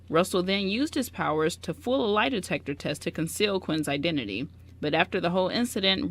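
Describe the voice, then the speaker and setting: A woman's voice: on the low side, gravelly. A woman on a radio show reading a story synopsis aloud, stumbling now and then and correcting herself. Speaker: radio show cast member.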